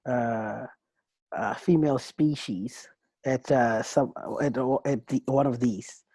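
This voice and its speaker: A man with a mellow, husky voice co-hosts a laid-back podcast, talking in halting, rambling stretches full of restarts and "you know what I'm saying." The sound is dry and close, with no room around it. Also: medium pitch, no room sound. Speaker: co-host. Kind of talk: casual podcast chat.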